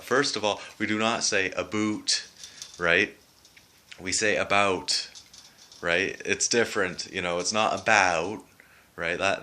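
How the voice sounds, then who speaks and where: A man's voice: low voice, bright, forceful tone. A young man from Canada with a Canadian accent, chatting off the cuff to his webcam at home and filling his talk with "you know" and "right". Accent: Canadian, southern Ontario. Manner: rambling, many fillers like "you know" and "right". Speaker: vlogger. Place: room at home, dim lighting.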